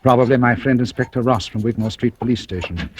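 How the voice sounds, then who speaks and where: An actor playing a suave, mocking, cold-blooded British blackmailer in an old-time radio thriller, speaking with urbane deliberation. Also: male voice, medium pitch, fast, a little mellow, close to an everyday voice. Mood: amused, mocking. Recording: noisy vintage radio recording with clicks.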